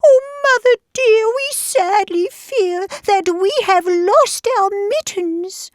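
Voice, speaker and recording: A woman voicing small, young kittens in a squeaky, mewing, pleading voice for a nursery rhyme. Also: slow pace, dry recording, clean studio sound.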